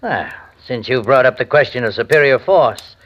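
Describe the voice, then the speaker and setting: An actor playing a smooth, menacing villain husband in a 1940s radio drama, with mocking politeness. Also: male voice, medium pitch, expressive, normal speed, lo-fi sound, natural voice.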